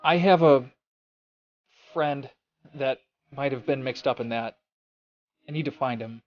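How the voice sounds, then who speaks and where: A man's voice: medium pitch, a little slow, reverberant, highs cut off, close to an everyday voice. A man playing an earnest, weary young amateur detective in a scripted noir audio drama, subdued and reflective. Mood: hesitant, earnest. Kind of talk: scripted first-person noir narration and dialogue.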